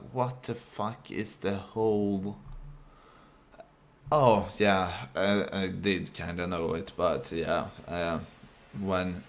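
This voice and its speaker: A man speaking English as a second language in a casual, unscripted monologue, halting with fillers and restarts, with a deep voice. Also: fairly bright tone. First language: not English. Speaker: vlogger.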